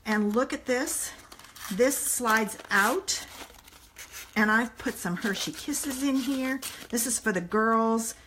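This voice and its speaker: Chatty hoarse woman, live craft stream. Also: a little high, slow pace, fairly bright tone, a little hiss or hum. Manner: chatty, rambling, talks straight to viewers. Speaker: papercraft demonstrator. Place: home living room, indoors. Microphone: phone or tablet camera at arm's length.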